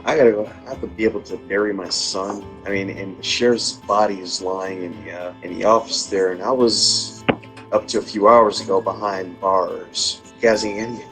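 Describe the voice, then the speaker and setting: Bereaved father, played by a man, weary and subdued, lowish pitch, hesitant pleading, tabletop roleplay over faint music. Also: steady tone, moderate pace, fairly bright tone, low-quality recording. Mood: weary, uneasy. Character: weary, grieving father burdened by guilt.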